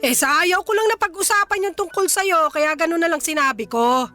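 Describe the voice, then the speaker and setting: A stern Filipino mother in a radio drama. Her voice is very high and sharp, scolding her grown son with exasperation and resentment. Faint music runs underneath. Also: fairly quick. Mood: defensive, bitter.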